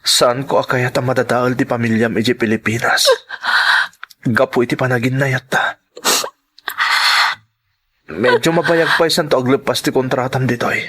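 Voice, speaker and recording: A man plays an earnest lover in an emotional audio drama. He speaks slowly and pleadingly, in a middle-pitched voice, as he tries to part from the one he loves. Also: fairly even intonation, a bit bright, lightly acted. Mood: pleading, apologetic. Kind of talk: Ilocano radio-style drama acting.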